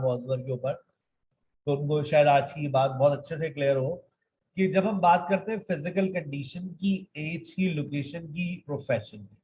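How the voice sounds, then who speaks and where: A man in his thirties teaching an online English grammar lesson. He moves between quick explanations and slow, dictated example sentences. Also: fairly high pitch, muffled recording, slight background noise. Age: 30s.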